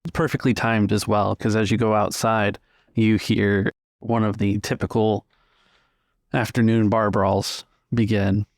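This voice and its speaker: A man's voice: lowish voice, flat delivery, measured pace, no echo, studio quality. A man narrating a tabletop roleplaying game as its game master.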